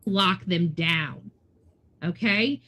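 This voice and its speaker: A woman's voice: fairly low pitch, very slow, a bit bright. An American woman giving unscripted, opinionated commentary in a blunt, emphatic, lecturing way. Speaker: online true-crime commentator.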